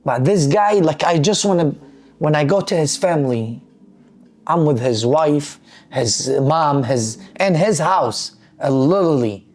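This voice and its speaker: A man's voice: a little high, animated, measured pace, darkish tone. A man hosting a casual podcast, telling stories animatedly in loose, non-standard English and saying "bro" often. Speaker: podcast host, barbershop owner. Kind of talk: casual storytelling chat.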